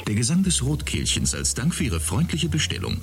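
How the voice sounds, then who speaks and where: An actor playing a male innkeeper who delivers smooth, lilting announcer patter in a radio play. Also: mid-range voice, wildly swooping pitch, a little fast, slight background noise. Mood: cheerful. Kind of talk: radio drama acting.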